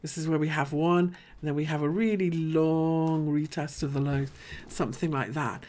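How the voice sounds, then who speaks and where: Woman, deep voice, host, casual live analysis, chatty, thinking aloud. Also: steady tone, normal speed, darkish tone.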